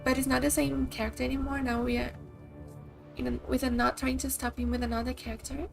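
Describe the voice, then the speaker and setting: A woman's voice: high-pitched, flat delivery, fast, vocal fry. Chatty Brazilian woman streamer. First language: Portuguese. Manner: chatty, rambling, many likes and kinda. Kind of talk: casual live-stream chat. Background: faint game music.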